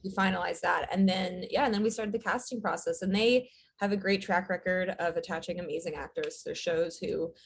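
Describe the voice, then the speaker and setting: A woman's voice: on the low side, steady tone, a little fast, few pauses, slight background noise. A woman who writes and directs, chatting casually as a guest in an interview, telling her story in a rambling way. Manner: rambling, storytelling, frequent filler words.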